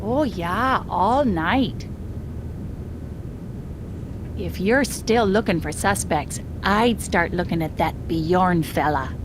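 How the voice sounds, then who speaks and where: An actress playing a chirpy, folksy small-town hotel keeper with a Minnesotan accent in an animated game. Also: female voice, on the low side, takes it slowly, pauses often, noisy background. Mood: gossipy. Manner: folksy, breezy.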